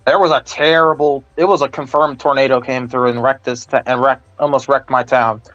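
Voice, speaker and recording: A man's voice: medium pitch, fairly even intonation, fairly quick, a bit bright, dry recording. An American man chatting casually as an enthusiast stream co-host, earnest and enthusiastic, often repeating his phrases for emphasis. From Ohio, United States. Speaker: stream co-host, weather radio collector. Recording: dull, lo-fi voice chat audio.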